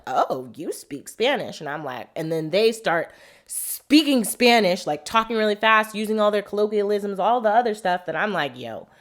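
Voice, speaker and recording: Casual Southern Californian woman tutoring. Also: mid-range voice. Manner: conversational, uses "like" and acts out questions to herself. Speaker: MCAT tutor. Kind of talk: unscripted teaching monologue.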